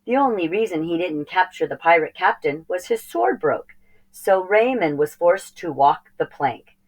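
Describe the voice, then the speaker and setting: A woman reading a children's story aloud in an expressive narrating style. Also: on the low side, moderate pace, poor audio. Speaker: storybook reader.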